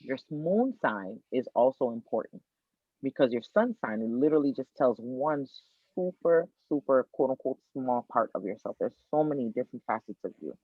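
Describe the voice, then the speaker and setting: A man from Miami, Florida, an astrologer guest on a podcast, explaining birth charts in an energetic, teacherly way and repeating his key points. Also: medium pitch, no room sound. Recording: tinny dry phone-like line.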